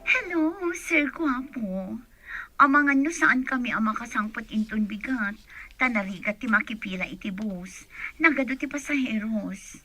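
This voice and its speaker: A woman's voice: fairly high pitch, normal speed, sharp tone, soft background music, rough recording. A woman playing a timid young daughter and employee in an Ilocano radio comedy drama, with an Ilocano accent. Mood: meek, pleading. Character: young daughter, timid employee afraid of losing her job.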